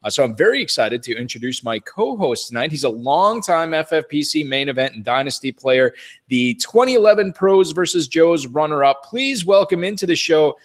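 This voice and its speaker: A man's voice: a little high, animated, normal speed. A man hosting a fantasy football radio show, chatty and upbeat, reading sponsor plugs and contact details in a studio. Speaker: podcast host.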